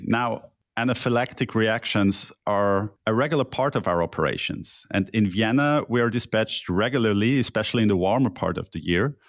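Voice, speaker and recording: A man's voice: on the low side, no echo, clean studio sound. A man from Switzerland, a senior emergency physician hosting a podcast and asking formal, carefully prepared questions. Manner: formal, earnest, deliberate. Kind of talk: hosted interview, prepared questions.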